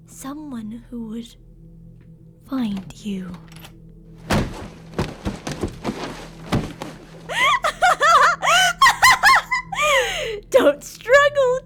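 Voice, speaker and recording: A woman's voice: sits high, heavily acted. A woman playing an obsessive, possessive yandere captor in an ASMR roleplay, intimate and doting with endearments yet menacing. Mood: quietly menacing, then manic. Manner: intimate, cooing endearments, drops to whispers. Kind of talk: ASMR roleplay, scripted monologue.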